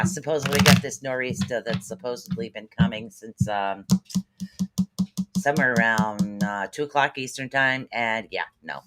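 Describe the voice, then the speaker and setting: A man with a Boston-sounding East Coast American accent chats on a livestream and gives spirit readings. He is upbeat and rambling, loops back over his own words and fills pauses with "um" and "uh". His voice sits at an ordinary male pitch. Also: very noisy. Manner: rambling, upbeat, heavy on fillers and repeats. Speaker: spirit reader and livestream host. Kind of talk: casual livestream chat with psychic readings.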